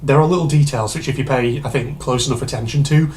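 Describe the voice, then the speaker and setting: A man chats his way through a reaction video, meandering and full of fillers. His voice sits at an ordinary middle pitch and sounds a touch hoarse and congested from a cold. A faint hum of noise sits behind him. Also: fast, a little mellow. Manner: rambling, chatty, many fillers and asides. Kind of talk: casual reaction commentary.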